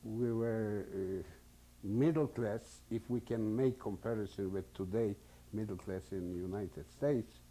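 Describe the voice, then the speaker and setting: Older Polish-accented man in his 70s, unhurried, mellow husky voice, hesitant as he searches for English words. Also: fairly low pitch. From Poland. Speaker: interviewee. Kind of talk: oral history testimony.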